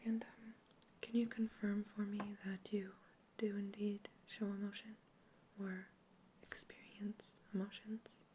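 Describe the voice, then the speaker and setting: A woman whispering gently through an ASMR roleplay, asking calm questions as an examiner. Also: medium pitch, measured pace, a little hiss or hum, poor audio. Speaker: ASMR artist playing an examiner.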